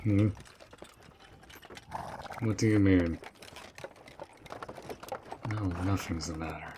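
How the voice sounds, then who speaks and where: A man playing a dutiful, protective orc general in a roleplay audio drama, speaking in a measured, earnest way with a tender side. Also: deep voice, background noise. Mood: wistful, tender. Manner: slow, measured, earnest, with sighs.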